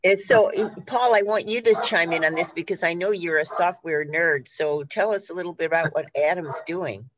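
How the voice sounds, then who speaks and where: A woman's voice: lowish voice, normal speed, few pauses, highs cut off, a little hiss or hum. A woman hosting a live radio interview, putting questions to guests and steering the show.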